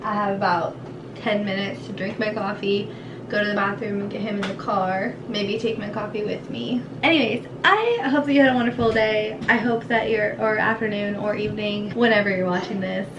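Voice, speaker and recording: A woman's voice: mid-range voice, normal speed. A young woman chatting casually in a vlog, rambling and informal, recorded indoors at home. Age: young adult. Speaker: vlogger and mother of a young child. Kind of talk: casual vlog chat to camera. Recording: echoey room, clearly noisy background.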